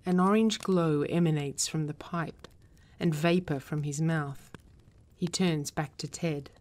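Casting: female script narrator, deep for a woman, unhurried, measured reading of stage directions. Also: a little mellow. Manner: measured, descriptive.

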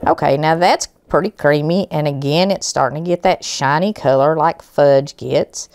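A middle-aged woman with a hoarse, gravelly voice from a cold walks viewers through a recipe at her kitchen counter. Her voice sits low and the sound is close and dry. She talks in a homey, folksy, chatty way. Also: moderate pace, darkish tone, no room sound. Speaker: cooking show host. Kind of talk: home cooking demonstration. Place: home kitchen, indoors.

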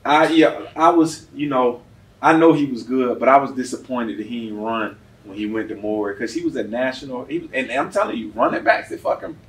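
A man's voice: medium pitch, animated, fairly quick. A man hosting a casual sports podcast, telling stories in slangy, swearing speech. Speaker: podcast host. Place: indoor gym, podcast set. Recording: noisy, distant mics in gym.

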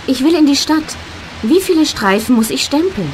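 A woman's voice: high voice, lively intonation, moderate pace, a little mellow, a little hiss or hum, poor audio. A woman voicing a polite young language student in a scripted learner dialogue.

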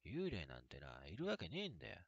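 Guy doing a sneering skeptic from a horror story, super low croaky voice, swoops around. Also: wildly swooping pitch, fast talker, dry recording, dull audio, exaggerated voice. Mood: scornful. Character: scornful man who dismisses ghosts.

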